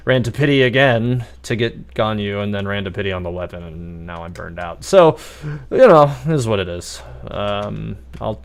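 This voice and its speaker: A man giving casual live stream commentary on a game, chatty and rambling. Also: lowish voice.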